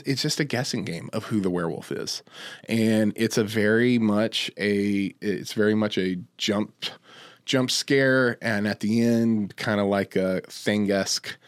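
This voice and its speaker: A man's voice: on the low side, no echo, clean studio sound. A man co-hosting a podcast, chatting casually in a rambling, hesitant way that often repeats phrases. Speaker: podcast co-host. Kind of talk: casual chat.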